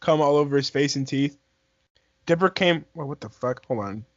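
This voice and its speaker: A young man with a slightly high voice reads aloud in a casual way. He trips over phrases and goes back to repeat them. The sound is dry and a bit muffled, like a lo-fi voice chat. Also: normal speed, no room sound. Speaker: amateur fan fiction reader. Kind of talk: casual read-aloud among friends.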